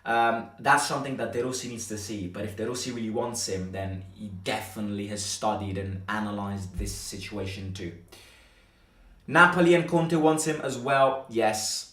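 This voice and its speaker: A man's voice: on the low side, moderate pace, fairly bright tone. A man hosting a live football fan stream, chatting conversationally and hesitantly, often repeating himself as he goes. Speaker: fan channel host and streamer. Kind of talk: live-stream football chat, reading viewer comments. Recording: roomy echo, distant from microphone.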